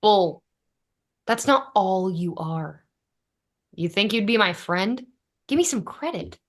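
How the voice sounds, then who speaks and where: A woman's voice: medium pitch, animated, takes it slowly. A woman reading the part of an exasperated, blunt young roommate in a sitcom script, with emphatic, sardonic delivery. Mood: frustrated. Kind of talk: sitcom script table read.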